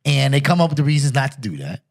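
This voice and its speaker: A man's voice: mid-range voice, sing-song, fast talker, vocal fry. A man from Chicago, a teacher, co-hosting a podcast and talking in a laid-back, slangy way. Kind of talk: casual chat.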